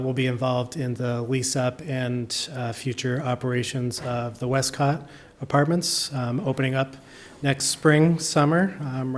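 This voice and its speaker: Plain-spoken man introducing himself. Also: medium pitch. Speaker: property operations executive. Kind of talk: panelist self-introduction.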